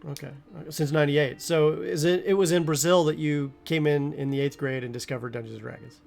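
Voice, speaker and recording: A man's voice: a little high, fairly even intonation, brisk, vocal fry, darkish tone, faint music behind. A man hosting a casual interview, loose and full of fillers as he asks questions. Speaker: show host. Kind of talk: casual chat.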